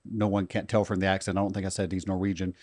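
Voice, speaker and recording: A man hosts a podcast and steers the chat with conversational questions. His voice sits on the low side. The recording is close and dry. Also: flat delivery, fast talker, a little mellow, no room sound. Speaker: podcast host. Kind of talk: interviewing.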